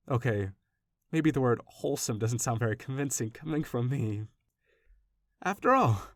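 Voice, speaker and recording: A man's voice: medium pitch, dry recording, clean studio sound. A man playing an obsessive, doting kidnapper in a roleplay audio, speaking to the listener with rambling, anxious affection. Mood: doting, earnest. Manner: soft-hearted, rambling, hesitant, gushing reassurance. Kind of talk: intimate roleplay audio acting addressed to the listener.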